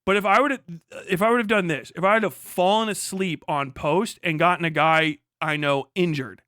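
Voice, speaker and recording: A man's voice: sits high, moderate pace, pushed and bright, clean tone, dry recording, studio quality. An American man giving casual, off-the-cuff livestream commentary in a rambling, sardonic, profane way. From United States. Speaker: live streamer, political commentator.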